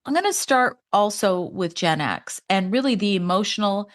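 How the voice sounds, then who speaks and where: A woman in her fifties hosting a solo podcast, talking in an earnest, conversational way that jumps up in pitch for emphasis. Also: animated, normal speed. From Canada. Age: 50s. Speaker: podcast host.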